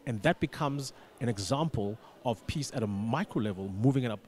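A male studio guest gives explanatory answers in a radio interview. He is deliberate, often repeating a word or phrase while he forms the next point. His voice has an average male pitch. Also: expressive, a little mellow.

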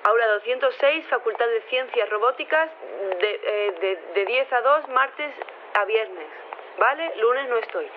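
A woman playing a casual, brisk robotics teacher who repeats practical directions in a fiction podcast voice message. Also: a little high, natural voice. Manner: casual, repetitive, checking understanding. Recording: tinny, noisy phone message.

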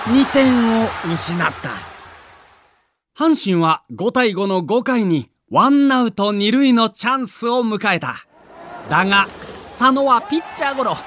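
A male narrator delivers a scripted sports documentary with dramatic emphasis. His voice is high and very lively in pitch, and there is clear noise behind it.

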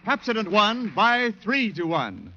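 A man's voice: high-pitched, huge pitch swings, a little slow, highs cut off, loud music behind the voice. A man working as an announcer, reading in 1940s radio advertising style on an old broadcast recording.

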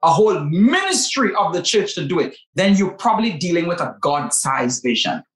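A man's voice: sits high, sing-song, moderate pace. A man co-hosting a Bible talk show, speaking emphatically and repeating words to drive his points home. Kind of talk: preacherly faith talk. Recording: roomy echo.

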